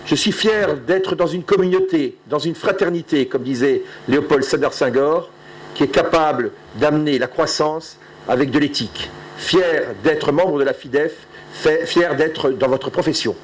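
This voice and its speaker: A man's voice: on the high side, moderate pace, a little hiss or hum, rough recording. A middle-aged man from Alsace giving an earnest, proud closing speech at a conference. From Alsace, France. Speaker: conference speaker.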